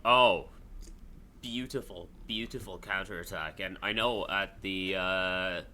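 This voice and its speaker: A man's voice: low-pitched, takes it slowly, pushed and bright, a little hiss or hum. A man with a mixed international accent co-hosting a casual football podcast, blunt, wry and sweary. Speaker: podcast co-host.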